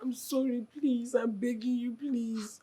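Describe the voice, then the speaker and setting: A woman's voice: a little high, steady tone, unhurried, dark tone, a little hiss or hum, lightly acted, rough recording. A Nigerian woman playing a distressed wife in a film drama, speaking in an emotional, insistent way. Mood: desperate, pleading. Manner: pleading, repetitive. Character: distressed young wife defending her mother from her husband.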